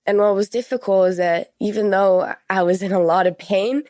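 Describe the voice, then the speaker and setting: A woman's voice: medium pitch, normal speed, warm tone, no room sound, clean studio sound. A teenage young woman speaking candidly as an interview guest, repeating words for emphasis. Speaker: podcast guest.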